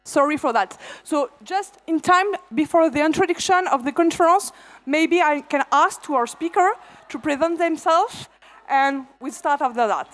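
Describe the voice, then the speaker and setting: High-voiced woman moderating a panel. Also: fairly bright tone. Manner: halting, with fillers and restarts.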